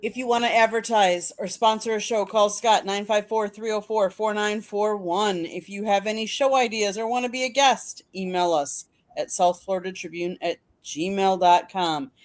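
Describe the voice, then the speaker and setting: A woman's voice: medium pitch, normal speed, few pauses. A woman co-hosting a sports podcast, reading out plugs, links and contact details. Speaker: co-host reading announcements. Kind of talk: reading show announcements.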